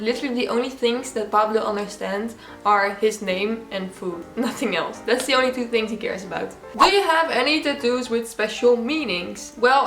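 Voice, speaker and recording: Dutch girl vlogging a q&a, lots of "like", kinda high voice, echoey room. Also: fairly bright tone. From Netherlands. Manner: chatty, giggly, many "like" fillers. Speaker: YouTuber answering viewer questions. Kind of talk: casual Q&A vlog.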